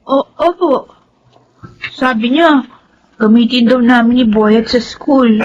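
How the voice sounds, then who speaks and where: A woman's voice: fairly high pitch, slow, a little mellow, dull audio, slight background noise. A woman playing an obedient, worried schoolgirl daughter in a Filipino radio drama, timid and respectful.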